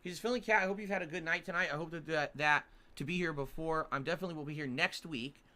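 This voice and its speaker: American man from Connecticut, rapid talker, slightly high voice, livestream host, casual chat. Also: sharp tone. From Connecticut, United States.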